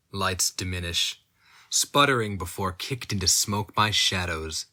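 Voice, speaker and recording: A man giving a dramatic reading of dark fiction, slow and intense, rising into high, strained outcries at moments. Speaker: narrator.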